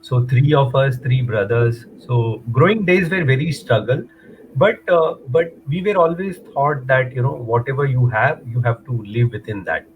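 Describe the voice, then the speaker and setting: A man's voice: mid-range voice, moderate pace, lo-fi sound, a little hiss or hum. Rambling Indian English man on a call. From Mumbai, India. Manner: hesitant, many fillers, rambling. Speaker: guest, runner and motivator. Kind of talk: casual interview chat, mixing in Hindi.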